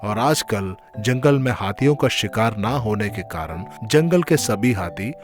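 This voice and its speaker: A man's voice: lowish voice, animated, unhurried. Man narrating a children's story over music.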